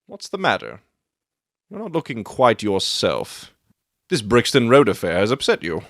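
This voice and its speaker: A man playing a self-assured gentleman detective in a dramatic audiobook, theatrical and articulate as he expounds his deductions. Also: medium pitch, wildly swooping pitch, unhurried, no echo, studio quality, heavily acted. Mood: musing, assured.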